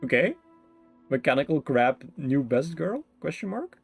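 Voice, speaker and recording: A man's voice: fairly high pitch, big pitch swings, unhurried, vocal fry, slightly rough, faint music behind. A man giving casual, jokey live commentary on a game, often muttering in broken fragments. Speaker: game streamer.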